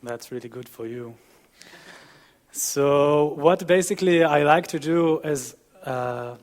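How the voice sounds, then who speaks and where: A man's voice: on the high side, slow. A young man from Israel with an Israeli accent, giving a conference presentation as a student. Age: young adult. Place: dim conference auditorium with audience, on a panel. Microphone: headset.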